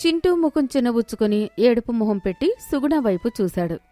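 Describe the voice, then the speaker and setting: A woman's voice: a little high, animated, brisk, warm tone, no room sound. A woman reading scripted narration for a moral story as its storyteller.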